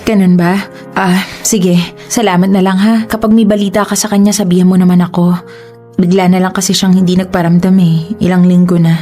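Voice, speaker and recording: A woman's voice: lowish voice, flat delivery, fairly quick, dark tone, soft background music, natural voice. A woman with a Filipino accent acting an emotional, reproachful young girlfriend in a radio drama. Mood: worried. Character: hurt, indignant young girlfriend pleading with her boyfriend.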